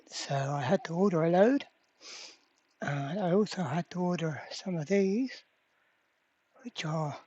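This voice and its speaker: A repair channel host giving a casual hands-on demonstration at a workbench, halting and thinking aloud while working. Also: medium pitch, dry recording, lo-fi sound. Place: indoor workbench.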